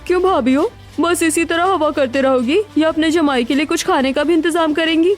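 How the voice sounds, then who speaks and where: A woman plays a haughty young married sister-in-law in a cartoon. She nags and accuses in a very high-pitched, entitled voice over music. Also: moderate pace, lightly acted. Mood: accusing.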